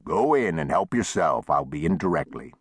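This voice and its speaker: A man's voice: very deep voice, sing-song, normal speed, slightly rough, no room sound, clean studio sound, full character voice. A man voicing a wry, easygoing old frontier saloon owner with folksy, plain-spoken talk in a western audiobook.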